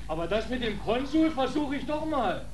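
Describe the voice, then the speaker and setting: A cheeky, naive drifter in an old radio play. His mid-pitched voice is very animated, grumbling and questioning in colloquial speech. Clear noise runs through the vintage recording. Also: normal speed. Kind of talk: 1940s German radio drama acting.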